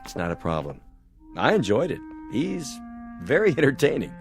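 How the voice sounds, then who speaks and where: A man acting in a television drama as a courteous, composed therapist with a polite, measured, professional manner. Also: mid-range voice, huge pitch swings, faint music behind. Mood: reassuring. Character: courteous, composed male therapist, probing gently.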